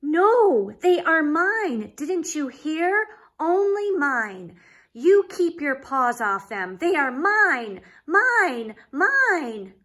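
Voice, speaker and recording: A woman voicing a greedy, selfish male pug dog in a storybook, shrill, huffy and possessive. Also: expressive, takes it slowly, hardly any pauses, bright, forceful tone, full character voice. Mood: furious.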